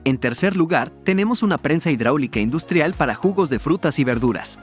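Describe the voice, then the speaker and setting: A man narrating a scripted promotional explainer in a measured, formal read-out manner. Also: on the high side, expressive. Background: background music.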